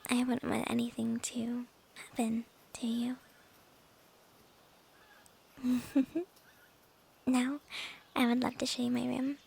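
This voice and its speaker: A woman acting a slow, whispery young vampire girl. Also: on the high side, little pitch movement, heavily acted. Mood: gently evasive. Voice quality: soft, breathy, often whispering. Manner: coy, hesitant, drawn-out phrasing with soft chuckles. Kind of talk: ASMR roleplay, intimate girlfriend-style monologue.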